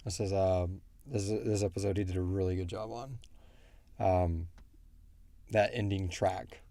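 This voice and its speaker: A man hosting a casual podcast Q&A, thinking aloud in a halting way. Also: sits low, steady tone, dark tone, no echo. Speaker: podcast host and show creator. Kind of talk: casual Q&A chat.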